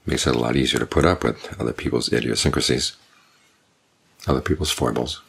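An older man, a Buddhist monk, giving a calm, measured evening Dhamma talk with unhurried pauses. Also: a bass voice, vocal fry, breathy edge. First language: English.

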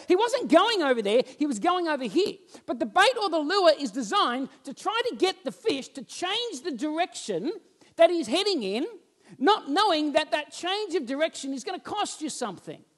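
A man, preaching a sermon on a church stage and telling stories with lively gestures while he walks about. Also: very high-pitched. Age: 40s. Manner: animated storytelling, roams the stage gesturing. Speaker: pastor. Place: church stage with band instruments, indoors.

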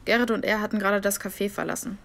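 Self-possessed young woman, mid-pitched. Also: level tone, fast, vocal fry, a bit bright, slight background noise. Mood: explaining. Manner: matter-of-fact, understated. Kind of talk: naturalistic German audio drama acting.

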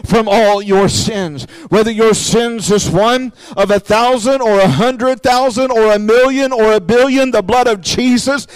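High-pitched man preaching an emphatic sermon. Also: measured pace, hardly any pauses, clear, resonant tone, dry recording, low-quality recording. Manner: emphatic, exhortatory, repetitive direct address. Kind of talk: evangelistic sermon.

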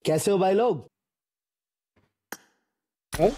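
A man's voice: high voice, extremely slow, warm tone, slight background noise. A man in his thirties with an Indian accent, chatting casually with teammates on a gaming livestream. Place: indoor gaming setup.

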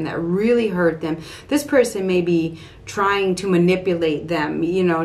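A middle-aged woman giving an improvised tarot reading to camera in a small room at home, halting and repeating phrases as she thinks aloud. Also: on the low side. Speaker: tarot card reader. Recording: clear steady background room noise.

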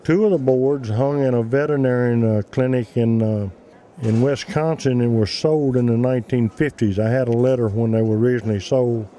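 Mellow older man telling stories. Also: medium pitch, brisk, rough recording. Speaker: museum representative interviewed. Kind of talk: storytelling interview answers. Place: large indoor trade show hall. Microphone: handheld interview microphone.

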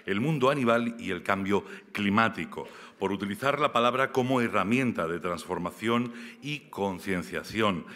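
Male awards presenter, lowish voice, forceful tone, reading formal text from notes. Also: moderate pace, hardly any pauses. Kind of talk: scripted announcement. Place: large theatre stage. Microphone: podium microphone. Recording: long theatre reverb.

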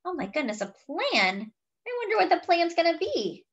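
A woman's voice: very high-pitched, sing-song, normal speed, muffled recording. A woman reading a picture book aloud expressively, with chatty, wondering asides to young listeners. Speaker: children's story reader.

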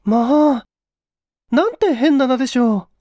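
Guy doing a modest young lady in an audio story, high voice, really polite, close dry sound. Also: animated, measured pace, dry recording. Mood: curious. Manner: soft feminine polite speech. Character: polite, modest young Japanese woman, easily surprised.

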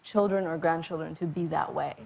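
A young woman answering interview questions, soft-spoken and hesitant, with frequent pauses and ums. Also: deep voice, steady tone, gravelly. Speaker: guest. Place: talk show studio.

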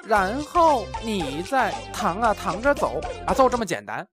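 A woman's voice: medium pitch, big pitch swings, measured pace. A woman playing a rustic, eager male brothel attendant in a comic audio drama. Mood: eager, showy. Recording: loud music behind the voice.

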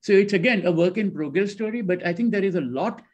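A middle-aged man with a high voice answers as a panelist, thoughtful and halting, often circling back and restarting. He joins from a room lined with bookshelves over a webcam. The sound is dull and lo-fi. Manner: reflective, hesitant with many restarts. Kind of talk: discussion answer. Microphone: video call webcam. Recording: lo-fi video call audio.

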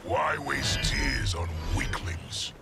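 Brief: gravelly tyrant emperor, scornful and slow, loud score behind. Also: male voice, animated, heavily acted. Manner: booming, mocking, commanding. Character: brutal male warlord emperor, arrogant and scornful. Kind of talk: video game cutscene acting. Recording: game cutscene with loud music.

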